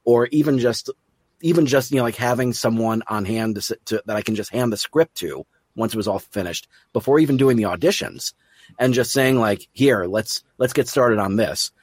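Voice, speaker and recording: A man's voice: mid-range voice, normal speed, breathy edge, no room sound. A man hosting an interview in casual conversation, chatty and rambling, with a self-deprecating streak. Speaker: interview host and audio drama producer.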